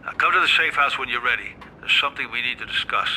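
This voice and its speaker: An actor playing a brisk, businesslike mob advisor in video game dialogue. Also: male voice, mid-range voice, sharp tone, a little hiss or hum, rough recording. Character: businesslike male mob advisor making a call.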